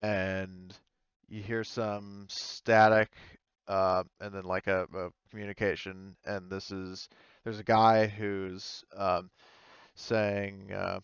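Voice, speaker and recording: A man with a low, smooth voice narrates a tabletop roleplaying session as game master. He talks casually and haltingly, feeling for the next word. The sound is close and dry, a little muffled. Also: flat delivery, no room sound. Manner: halting, searching for words.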